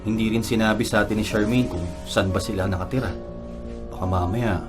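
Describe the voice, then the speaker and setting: A man's voice: on the low side, steady tone, brisk, over music, lightly acted. A man playing a respectful, worried young son with a Filipino accent in a radio drama.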